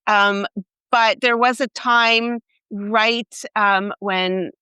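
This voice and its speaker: A woman's voice: mid-range voice, steady tone, drawn-out words, sharp tone, clear, resonant tone, no echo. A middle-aged woman, an author interviewed as a guest, speaking in a thoughtful, conversational way.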